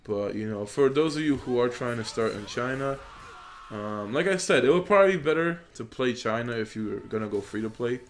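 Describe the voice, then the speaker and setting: A young man, a gaming YouTuber who grew up in Poland and speaks Polish natively, giving casual, rambling commentary over gameplay into a desk microphone, with plenty of fillers. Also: mid-range voice. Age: young adult. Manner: rambling, filler-heavy, relaxed. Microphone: USB desk microphone.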